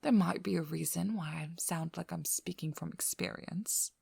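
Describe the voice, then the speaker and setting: Female vampire hunter, played by a woman, ASMR audio drama: firm, protective, dryly wry. Lowish husky voice, measured delivery falling often to a whisper. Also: darkish tone, dry recording, studio quality, full character voice. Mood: guarded, teasing. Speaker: ASMR role-play performer. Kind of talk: intimate ASMR role-play acting. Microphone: close microphone.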